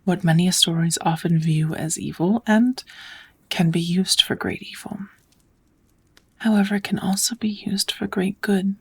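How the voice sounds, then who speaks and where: A woman voicing a kind, patient witch in a soft, often whispered ASMR roleplay, teaching magic in a gentle, measured, slightly formal way. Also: on the low side, steady tone, husky. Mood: calm, patient.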